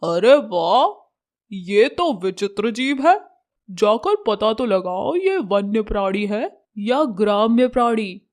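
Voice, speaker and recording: A woman's voice: mid-range voice, expressive, slow pace. A woman voicing a regal, honourable lion king in a cartoon fable, stately and commanding. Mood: curious, commanding.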